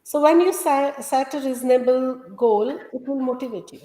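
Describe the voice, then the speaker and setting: A woman with an Indian accent, a native Gujarati speaker, explaining advice as a guest in a measured way with frequent pauses. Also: high voice, mellow, a little hiss or hum. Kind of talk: explaining goal-setting advice. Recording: roomy echo.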